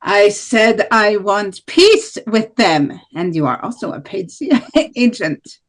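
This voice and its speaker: A woman's voice: mid-range voice, very animated, unhurried. A woman playing a hardline, defiant nationalist presidential candidate in an amateur table read of a debate.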